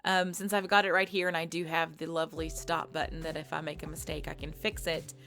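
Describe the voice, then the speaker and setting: A woman who makes ASMR audio, answering viewer questions in a soft, thoughtful casual talk with frequent little restarts. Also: deep voice, little pitch movement, brisk, creaky. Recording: faint background music.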